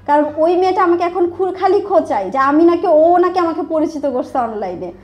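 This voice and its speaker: A woman's voice: very high voice, expressive, fairly quick, lo-fi sound. A woman with a Bangladeshi accent speaking candidly and emphatically in a conversational interview, mixing in English words. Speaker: interview guest, online business owner.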